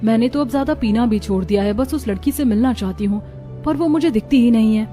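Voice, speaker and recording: A woman voices a spoiled young college girl from a wealthy home in a cartoon tale. She sounds breezy and self-assured, pitched fairly high, with music behind her. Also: fast talker, mellow, natural voice. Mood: chatty. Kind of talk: cartoon story dialogue.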